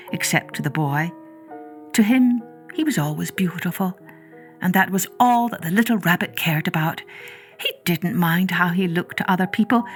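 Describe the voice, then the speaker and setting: A woman narrating a children's story in a measured, expressive way, drawing out some words. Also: medium pitch. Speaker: storyteller, podcast host.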